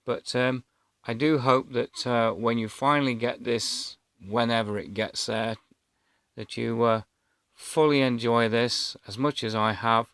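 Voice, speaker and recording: A man's voice: medium pitch, fairly even intonation, measured pace, a bit bright, clear, resonant tone, no echo, studio quality. A man, telling a personal story casually to camera in a hesitant, rambling way. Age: 50s. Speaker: vlogger.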